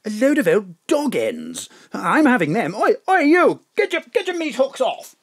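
An actor playing a furtive, fussy top civil servant, conspiratorial and animated in a comic audio drama. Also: male voice, very high-pitched, moderate pace, husky, dry recording, studio quality, heavily acted. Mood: urgent, excitable.

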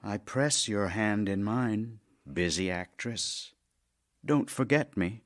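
An actor playing a middle-aged Russian playwright in an audio drama, wry and lovesick, with theatrical, deliberate pauses. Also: male voice, fairly low pitch, expressive. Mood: lonely, gloomy. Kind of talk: theatrical audio drama, reading love letters.

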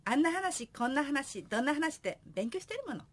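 A woman's voice: sits high, big pitch swings, brisk. A young woman playing an eager, cheeky singer daughter in a comic radio drama. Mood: bantering.

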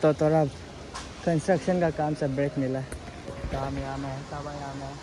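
A young man with a Northeast Indian accent talks chattily to his phone while fishing at a river, his voice pitched a little high. He laughs often and drops English words into his talk. Water and construction noise are clearly heard behind him. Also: fairly even intonation, a little fast. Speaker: vlogger. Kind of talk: casual vlog narration while fishing. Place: outdoors by a river. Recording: noisy outdoor riverside recording.

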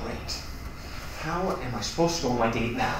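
A man's voice: mid-range voice, animated, normal speed, close to an everyday voice. A man playing an exasperated, short-tempered roommate in a naturalistic acted scene, speaking bluntly and confrontationally. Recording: distant, very echoey room, faint noise.

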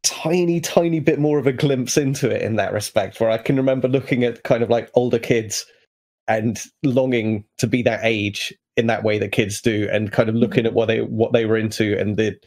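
A man's voice: mid-range voice, steady tone, brisk, a little mellow. A British man in his thirties chatting casually as a player on a podcast. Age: 30s. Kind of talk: casual chat.

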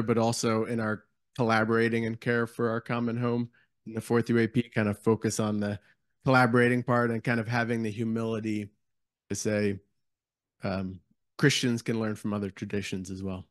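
A man giving thoughtful remarks in a panel discussion in a conference hall, pausing with frequent ums. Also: lowish voice, flat delivery, studio quality.

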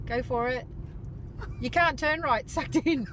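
A woman's voice: high voice, slow pace, a bit bright. A middle-aged woman with an Australian accent, chatting playfully into a phone inside a moving car. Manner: playful, jokey. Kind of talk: casual chat. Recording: slightly noisy car interior.

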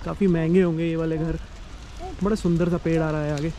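A man's voice: a little high, flat delivery, fairly quick. A man from India giving casual commentary to viewers while he walks outdoors along a canal. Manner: explains things to viewers while walking. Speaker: vlogger. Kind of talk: casual walking-tour commentary.

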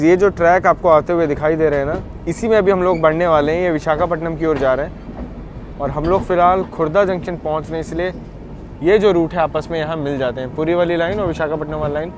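A young man talks into a camera held at arm's length, in a chatty and upbeat way. His voice sits a little high for a man. The steady rumble of a train and the noise of outdoor travel run clearly behind him. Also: a little fast. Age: young adult. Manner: chatty, recommends food to viewers. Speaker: travel vlogger. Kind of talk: casual travel vlog commentary. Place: on a moving train and station platform.

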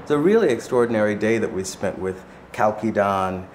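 A man narrates a documentary in a calm, measured way, reflective and articulate. His voice sits low. Faint noise sits under the recording. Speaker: visual artist presenting the film.

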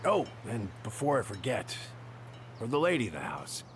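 An actor playing an awkward, kindly middle-aged backwoods handyman in a video game, halting, rambling and folksy. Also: lowish voice, wildly swooping pitch, noisy background. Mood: sheepish, sincere. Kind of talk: naturalistic video game acting.

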